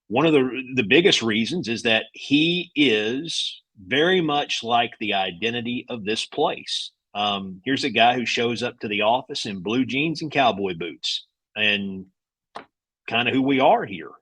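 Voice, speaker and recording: A man's voice: lowish voice, sharp tone, no room sound, low-quality recording. A man speaking as a broadcaster guest in casual sports talk, with a folksy, anecdotal manner. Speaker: radio play-by-play broadcaster, guest.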